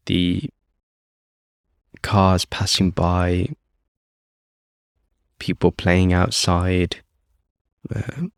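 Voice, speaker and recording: A man calmly leading a guided meditation as host, speaking off the cuff in short phrases with long pauses. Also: deep voice, clear, resonant tone, no echo, clean studio sound. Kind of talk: guided meditation, unscripted instruction.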